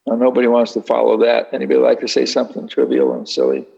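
Speaker taking audience questions: a gravelly, husky man who sounds unhurried and dryly wry, on a dull lo-fi recording. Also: mid-range voice, big pitch swings, mellow. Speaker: speaker leading a Q&A session. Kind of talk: reflective talk answering an audience.

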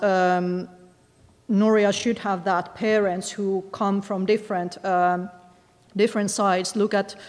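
A woman's voice: medium pitch, darkish tone. Thoughtful woman author in a reverberant hall. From Finland. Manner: thoughtful, hesitant, many pauses and fillers. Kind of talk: discussing her book with an audience.

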